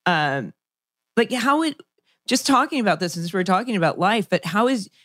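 A woman's voice: medium pitch, expressive, normal speed, a bit bright, no room sound, clean studio sound. A woman co-hosting a podcast, talking in a chatty, meandering way and asking long questions. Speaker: podcast co-host, actress. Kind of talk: casual podcast chat.

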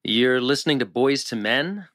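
Casting: man as sensible, skeptical teen best friend in TV drama, mid-pitched, understated wording, varied tune. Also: lively intonation, moderate pace, fairly bright tone, natural voice. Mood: dry, skeptical. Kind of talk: live table read of a TV drama script.

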